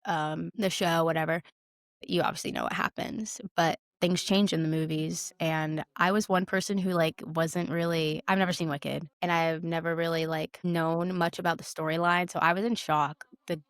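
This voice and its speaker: A woman's voice: low-pitched, little pitch movement, normal speed, no room sound. Informal woman podcaster, dry close-sounding recording. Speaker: podcast co-host. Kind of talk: casual podcast chat.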